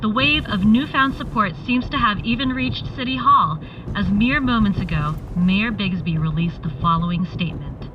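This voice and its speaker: A woman playing a composed news reporter, reading a scripted bulletin in a measured, formal way. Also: expressive, natural voice. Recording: dull, distant radio with noisy rumble.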